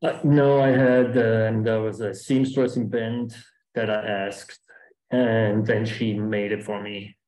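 A man's voice: fairly low pitch, level tone, slow, darkish tone, roomy sound, rough recording. A man with a German accent, a guest speaker answering questions casually on a video call, rambling with restarts.

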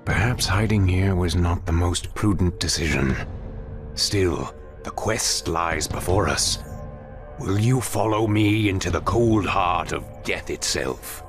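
A very low, imperious half-demon warlord in a fantasy game. He speaks slowly and grandly, like a commander, over loud music. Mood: grim, solemn. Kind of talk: dramatic video game cutscene acting.

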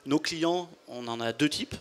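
A man's voice: fairly high pitch, fairly bright tone. Businesslike man at a trade fair booth. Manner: measured, explanatory, businesslike. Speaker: company founder. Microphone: handheld mic.

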